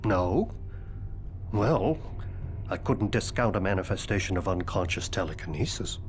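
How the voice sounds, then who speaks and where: An actor playing a stiff, literal-minded teacher in a video game, speaking formally and precisely with a deadpan, stilted delivery. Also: male voice, low-pitched, unhurried, slight background noise. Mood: matter-of-fact. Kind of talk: video game cutscene dialogue.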